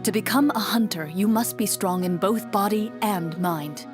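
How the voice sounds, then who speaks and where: A woman playing a composed young swordswoman and game master in a video game, speaking in a measured, formal, matter-of-fact way. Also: mid-range voice, music in the background, lightly acted. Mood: composed, explanatory.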